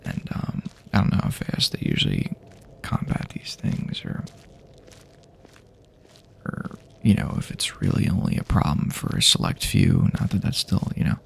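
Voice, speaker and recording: A man playing a wary but hopeful, laid-back apocalypse survivor in a hushed, halting, rambling, partly whispered ASMR roleplay. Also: deep voice, big pitch swings, creaky, slight background noise, exaggerated voice. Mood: reflective, hesitant. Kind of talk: improvised ASMR roleplay, soft-spoken.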